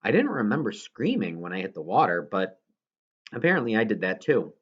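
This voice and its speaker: A man's voice: on the low side, sing-song, fairly quick, husky, muffled recording. A man reading a children's novel aloud as scripted narration, now and then stumbling over a word. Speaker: audiobook reader.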